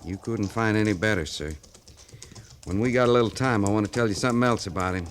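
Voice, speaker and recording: An actor playing a loyal veteran American cavalry sergeant, plain-spoken and respectful, in a 1950s radio western. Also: male voice, fairly low pitch, fast talker, lightly acted. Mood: reflective, respectful. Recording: noisy 1950s radio broadcast recording.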